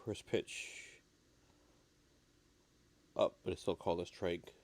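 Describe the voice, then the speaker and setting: A man with a slightly raspy voice, getting over an illness, giving low-key, casual live baseball commentary as a stream host. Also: flat delivery, slow pace, a little mellow.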